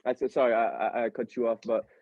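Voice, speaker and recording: A man's voice: medium pitch, little pitch movement, fast, a little mellow, no echo, highs cut off. A young man from Pennsylvania hosting a podcast in a casual, rambling, slangy way with lots of fillers. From Allentown, Pennsylvania. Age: young adult. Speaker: podcast host. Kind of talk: casual chat.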